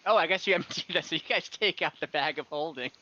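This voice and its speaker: Bright-voiced man narrating, slightly dull audio. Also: fairly high pitch. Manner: halting, descriptive, often restarting phrases. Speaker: game master. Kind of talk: improvised tabletop game narration. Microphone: online voice call.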